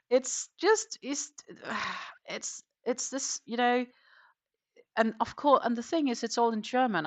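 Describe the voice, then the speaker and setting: Woman, crochet livestream host, casual rambling chat, frequent ums, low-ish voice, dry sound. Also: dry recording. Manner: chatty, rambling, with frequent ums and half-muttered stitch counting.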